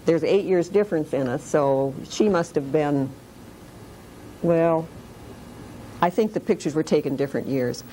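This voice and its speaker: A woman in her late sixties from Detroit, telling her life story in a classroom interview in a wry, unhurried way. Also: low voice, mellow, slight background noise, poor audio. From Detroit, Michigan. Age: 60s. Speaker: interviewee sharing memories of the Depression. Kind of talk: oral history interview. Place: school classroom.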